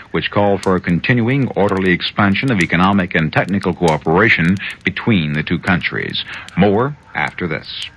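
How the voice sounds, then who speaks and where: A man's voice: sits low, fairly bright tone. An American man reading a scripted 1970s radio news bulletin. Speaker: radio newsreader. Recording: old radio broadcast, faint noise.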